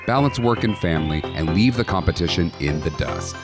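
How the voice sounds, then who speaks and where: A man's voice: low voice, lively intonation, brisk. A man reading a scripted promotional podcast intro and outro as an announcer. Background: music.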